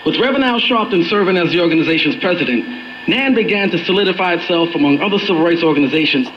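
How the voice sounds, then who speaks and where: A man's voice: high voice, animated, fast. A man reading scripted narration, heard through a dull and noisy AM radio.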